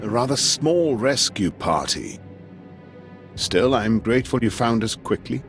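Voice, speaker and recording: A man's voice: mid-range voice, expressive, unhurried, fairly bright tone, soft background music. An actor playing an old, wry male military governor in a video game, speaking formally and graciously. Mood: wry, grateful. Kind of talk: video game cutscene acting.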